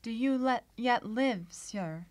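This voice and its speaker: A young actress playing a mysterious, gentle woman in mourning, speaking softly and soothingly in a radio play. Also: a little high, takes it slowly, no echo. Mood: eerie, soothing. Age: young adult. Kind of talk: old-time radio drama acting. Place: small radio studio.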